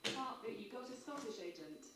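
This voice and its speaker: A female text-to-speech voice reading out chat names and messages in a flat way. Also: a little high, moderate pace. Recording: distant echoey playback with noise.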